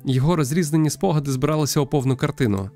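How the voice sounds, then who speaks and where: Man playing tender young girl, visual novel storyteller, sorrowful, gravelly edge, soft music behind. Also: on the high side, rapid-fire, natural voice. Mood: narrating. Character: sensitive young girl narrating her sad journey. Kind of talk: visual novel narration read aloud.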